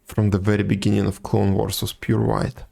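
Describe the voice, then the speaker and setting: Man, game streamer, lowish pitch, creaky, casual chat, low-key halting delivery. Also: completely flat delivery, mellow.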